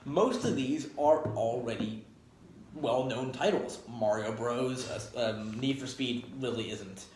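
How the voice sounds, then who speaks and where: A man's voice: medium pitch, takes it slowly, darkish tone. Echoey man rambling through an opinionated review. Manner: rambling, self-correcting, emphatic. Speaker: video game movie reviewer. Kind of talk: casual opinionated spoken review. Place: echoey spot up by a street. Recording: echoey, noisy, near street traffic. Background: traffic and engine noise.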